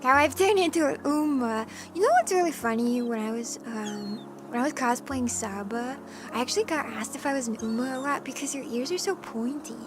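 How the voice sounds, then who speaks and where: A woman streamer chatting casually with her live chat, with a hoarse voice and a playful cat persona. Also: sits high, a little fast, background noise. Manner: chatty and playful, often clearing her throat. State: hoarse from allergies. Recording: music playing in the background.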